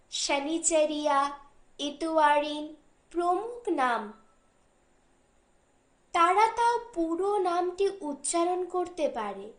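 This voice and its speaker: A woman reads a short story as an audiobook narrator, in a measured, deliberate way with pauses between phrases. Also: high voice, echoey room.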